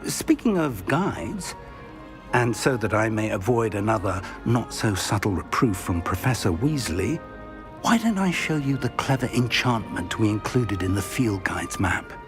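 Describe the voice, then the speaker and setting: A man's voice: on the low side, big pitch swings, over music. An actor playing an older male professor in a video game, a formal, kindly mentor with a British accent. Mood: instructive. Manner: measured, formal, kindly instructive.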